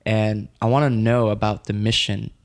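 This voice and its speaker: A man's voice: fairly low pitch, level tone, normal speed. Upbeat young man hosting a podcast. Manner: casual and upbeat, with fillers like "kinda". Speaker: podcast host. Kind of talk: podcast interview.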